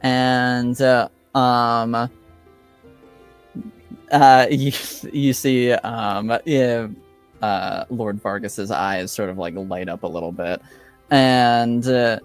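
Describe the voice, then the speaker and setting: Male game master for tabletop roleplay. Slow, smooth delivery with frequent pauses, light music underneath. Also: mid-range voice, fairly even intonation. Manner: halting, pauses between phrases.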